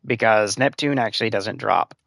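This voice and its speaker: A man giving casual gameplay tips on a live stream, his voice a little hoarse and scratchy, talking in loose rambling runs full of "like" and "uh". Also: lowish voice, normal speed, fairly bright tone, no echo. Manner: rambling, filler-heavy advice-giving. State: slightly hoarse, losing voice. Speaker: game streamer.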